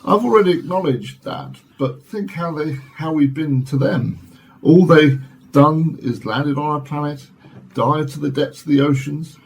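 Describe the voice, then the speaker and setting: A man playing an academic scientist in a radio drama, arguing in a formal, measured and earnest way. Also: on the high side, gravelly, a little mellow, slight background noise. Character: measured Oxford scientist defending alien visitors. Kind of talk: radio play acting as studio discussion.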